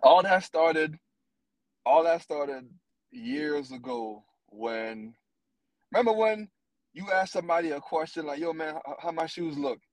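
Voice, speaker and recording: A man in his thirties co-hosting a podcast, talking in a blunt, animated and profane way. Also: fairly high pitch, measured pace, no echo, rough recording. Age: 30s. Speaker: podcast co-host. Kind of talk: casual podcast chat.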